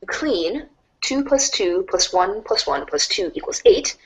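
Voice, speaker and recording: A woman reading narration aloud in an animated storybook style for a reader's theater. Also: medium pitch, unhurried, rough recording.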